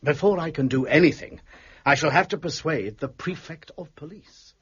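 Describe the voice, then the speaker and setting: Flustered, raspy police inspector. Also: male voice, fairly high pitch, normal speed, fairly bright tone. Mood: grateful. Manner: flustered, earnest. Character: exasperated, deferential, honest police inspector. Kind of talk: audio drama acting. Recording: dull, muffled audio.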